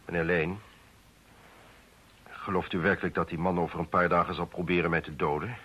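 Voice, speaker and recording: Deep, husky, evasive politician. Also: male voice, level tone, fairly bright tone. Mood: defensive, worried. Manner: hesitant, formal, measured. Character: defensive male politician confessing an affair, formal and evasive. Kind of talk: Dutch radio play acting. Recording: dull old radio play recording.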